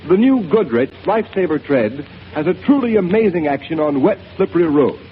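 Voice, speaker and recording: A man's voice: on the high side, expressive, brisk, a little hiss or hum. A man announcing and reading an ad in an emphatic style on a 1930s radio show, heard on a tinny old recording. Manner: emphatic, salesy.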